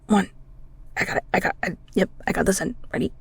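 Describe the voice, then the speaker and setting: A woman acting in an intimate audio roleplay as a bashful, kindhearted, bungling young ghoul cook, flustered and stammering, with a breathy voice that often drops toward a whisper. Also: mid-range voice, steady tone, fast. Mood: sheepish, nervous. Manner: flustered, stammering, giggly, rambling.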